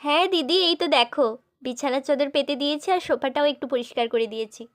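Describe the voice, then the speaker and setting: A woman's voice: high voice, fast talker, lightly acted. A woman voicing an eager, agreeable younger sister doll who is keen to help, in a children's doll story. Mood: keen, insistent. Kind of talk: doll-play storytelling dialogue.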